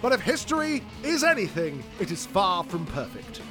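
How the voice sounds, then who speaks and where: A man's voice: sits high, very animated, moderate pace, music in the background. A British man co-hosting a silly history comedy podcast, delivering mock-earnest scripted banter.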